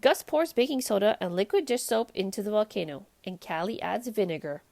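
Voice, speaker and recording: A woman hosting a children's read-aloud, narrating a storybook in an expressive, animated way. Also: mid-range voice, fairly quick, vocal fry.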